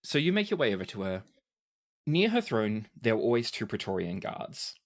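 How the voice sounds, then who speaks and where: A game master narrating a tabletop fantasy game in a deliberate, descriptive storytelling manner. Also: mid-range voice, big pitch swings.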